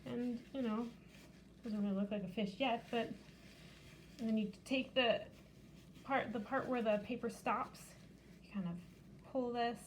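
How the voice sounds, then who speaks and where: High-voiced woman, noisy recording. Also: fairly even intonation, a little slow, pauses often. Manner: simple, child-directed explaining. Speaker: library storytime host. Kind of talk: picture-book reading and craft demonstration.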